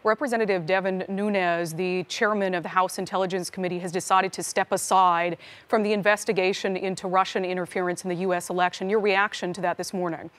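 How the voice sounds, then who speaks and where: A woman's voice: on the low side, steady tone, fairly quick, fairly bright tone. American woman anchoring a live news interview.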